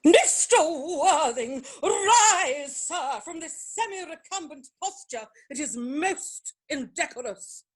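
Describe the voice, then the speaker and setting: A woman playing an imperious, snobbish older aristocratic mother in a theatrical comedy reading, speaking with pompous, deliberate emphasis. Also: high voice, animated. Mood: indignant. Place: virtual performance from home in isolation.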